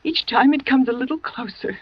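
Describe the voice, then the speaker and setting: A woman's voice: high-pitched, expressive, a little fast, breathy edge, no echo. An actress playing a frightened wife who believes someone is trying to kill her, speaking in an anxious, pleading old-time radio style. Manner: anxious, pleading, rises to panic. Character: frightened wealthy wife who fears murder. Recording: dull old radio recording.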